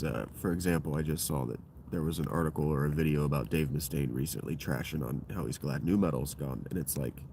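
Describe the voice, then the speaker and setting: A man with a deep voice hosting his own livestream, talking in a loose, digressive and profane monologue that keeps circling back on itself. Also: gravelly, background noise. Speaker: livestream host. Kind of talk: rambling solo livestream monologue.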